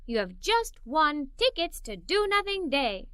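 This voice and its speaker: A woman voicing an eager, helpful, playful little girl in a children's storybook, chirpy and expressive. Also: sits high, a little slow, no room sound, clean studio sound, exaggerated voice. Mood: excited, announcing. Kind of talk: children's storybook read-aloud.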